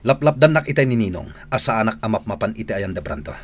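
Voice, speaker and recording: An actor playing an impatient, commanding gang boss in an Ilocano radio drama. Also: male voice, fairly low pitch, big pitch swings, quick pace. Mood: restless, worried.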